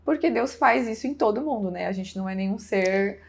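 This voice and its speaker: A woman's voice: mid-range voice, fairly quick, gravelly, breathy edge. A woman pastor with a southern Brazilian accent, giving an informal personal testimony as a guest, with frequent conversational check-in tags.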